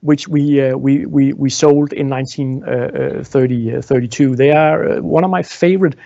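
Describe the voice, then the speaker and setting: A man in his thirties giving an informal guided tour, explaining in a halting way with repeated words. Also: mid-range voice, steady tone, creaky, dark tone, no room sound, highs cut off. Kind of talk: informal guided tour talk, answering questions. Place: indoor museum exhibition room. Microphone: small clip-on lapel mic.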